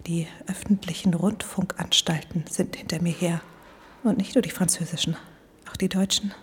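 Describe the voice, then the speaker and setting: Actress as female psychiatric patient, paranoid, secretive, whispered, fairly low, earnest, radio play. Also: steady tone, moderate pace, close to an everyday voice. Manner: secretive, hushed, half-whispered, reciting obsessively. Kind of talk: modern radio play acting.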